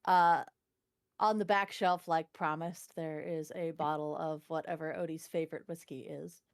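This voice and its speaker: A woman with a slightly low voice takes part in a tabletop game. She describes scenes off the cuff in loose, informal speech full of "like". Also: fairly even intonation, moderate pace. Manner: frequent "like", informal. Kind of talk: improvised casual scene description.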